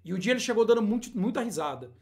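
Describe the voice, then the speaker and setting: Um homem apresentando um podcast de entrevista, com interjeições animadas e rápidas. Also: male voice, high-pitched, big pitch swings, a little mellow. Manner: quick, enthusiastic interjections. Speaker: podcast host. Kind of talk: casual interview chat.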